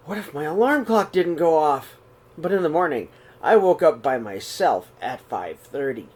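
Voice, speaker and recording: Expressive man reading a storybook aloud. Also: a little high, slightly rough. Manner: reads slowly and expressively, voices the characters' calls. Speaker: storybook reader. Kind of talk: read-aloud storytelling for children. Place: small room indoors.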